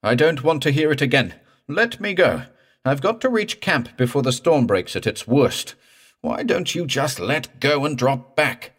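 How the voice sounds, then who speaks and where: A man's voice: medium pitch, very animated, measured pace, voice pushed up. A man voicing a terrified, frantic man in a horror story, pleading and cursing in a raw, thick voice. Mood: panicked. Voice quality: raw, thick, breaking upward.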